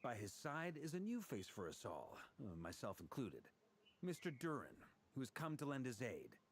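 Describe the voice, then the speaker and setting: Polite young game character, chatty n a bit awkward, pitch bounces around a lot. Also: male voice, huge pitch swings, normal speed, slightly rough. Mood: courteous, upbeat. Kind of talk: video game dialogue.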